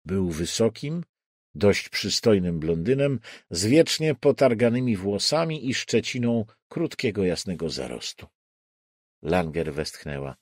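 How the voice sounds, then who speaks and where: A man narrating a crime thriller audiobook in a deliberate, suspenseful way with dramatic pauses. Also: fairly low pitch, a little mellow, no echo, clean studio sound.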